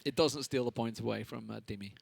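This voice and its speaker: A man's voice: medium pitch, fast, gravelly, a little mellow, slightly rough. A man casting a live esports match on a headset, chatty and analytical, and he laughs easily. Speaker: esports caster.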